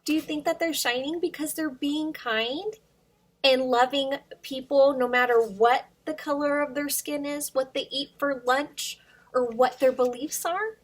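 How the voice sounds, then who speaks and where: A woman's voice: high voice, a little slow. A woman hosting storytime for young children, reading aloud expressively with sing-song emphasis and addressing questions to young listeners.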